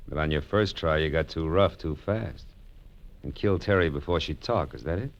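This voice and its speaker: A man's voice: rumbling low, normal speed. An American actor playing a tough, wry male private detective in 1940s radio noir style, clipped and wisecracking. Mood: pressing, wry. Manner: hard-boiled, clipped, wisecracking. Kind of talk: 1940s radio noir acting and first-person narration. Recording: muffled old radio drama recording.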